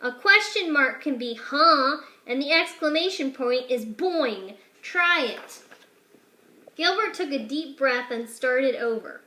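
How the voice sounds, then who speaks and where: Female narrator for a kids' picture-book read-aloud, high and bright, lively character voices, roomy echo. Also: slow pace. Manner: expressive, shifts pitch and loudness for each character. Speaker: teacher reading aloud.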